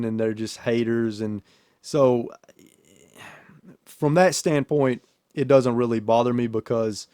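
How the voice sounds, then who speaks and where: A man's voice: medium pitch, a little mellow, no echo. Casual male podcaster, dry close sound. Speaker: podcast host and YouTuber.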